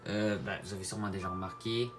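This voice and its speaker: A man with a Québécois French accent giving a casual tutorial to viewers, halting and repeating his words as he goes. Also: sits low, fairly even intonation, over music. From Quebec, Canada. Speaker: gaming video creator. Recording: clear background noise, home-made recording.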